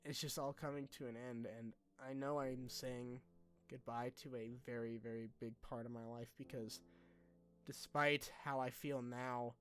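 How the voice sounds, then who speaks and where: A young man talking casually and unscripted like a YouTuber to followers, candid, self-deprecating and halting, with informal phrasing. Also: medium pitch, steady tone, faint music behind. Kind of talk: casual unscripted vlog talk.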